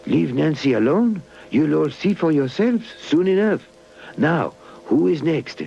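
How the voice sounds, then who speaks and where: A man's voice: a little high, animated, a little slow, creaky, background noise, voice pushed up. A man voicing a cheerful, proud toy inventor puppet in a children's puppet story. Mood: pleased. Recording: muffled old 1980s VHS tape, loud music.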